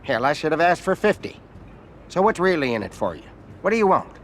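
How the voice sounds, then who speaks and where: An actor playing an arrogant, greedy middle-aged American senator in a video game, with a folksy, condescending manner. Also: male voice, a little high, big pitch swings, brisk, fairly bright tone, slight background noise. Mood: smug, probing. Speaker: senator character in a crime game. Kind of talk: dramatic video game cutscene acting.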